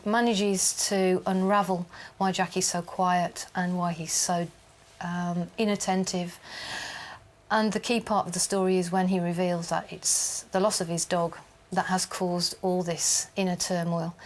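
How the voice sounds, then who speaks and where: Female teacher, low flat voice, measured and hesitant, faint music behind. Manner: measured, thoughtful, with pauses and "um". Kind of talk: interview answers.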